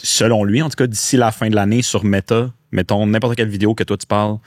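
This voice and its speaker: A man with a Québécois French accent hosting a podcast, asking relaxed, conversational questions in casual chat. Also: lowish voice, fast talker, dry recording, studio quality. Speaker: podcast host.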